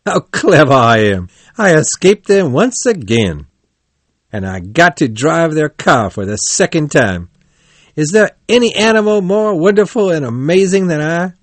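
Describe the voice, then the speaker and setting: Man voicing a vain, excitable storybook toad, animated. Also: mid-range voice, measured pace, breathy edge, no room sound, full character voice. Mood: gloating. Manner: pompous, theatrical. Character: vain, boastful, fat male toad, excitable and crafty.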